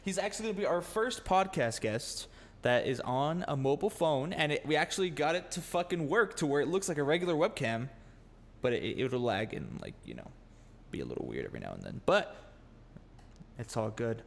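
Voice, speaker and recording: A man's voice: on the high side, big pitch swings, fairly quick, creaky. A man hosting a casual podcast, joking and teasing his guest and swearing freely. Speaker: podcast host. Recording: long room reverb, faint noise.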